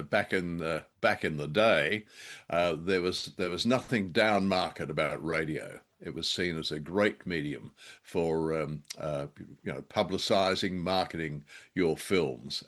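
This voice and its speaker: A mature man with a low-pitched voice co-hosts a relaxed chat about classic radio drama. He speaks haltingly, with a wry, self-deprecating streak. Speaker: podcast co-host. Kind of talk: casual discussion of old-time radio drama.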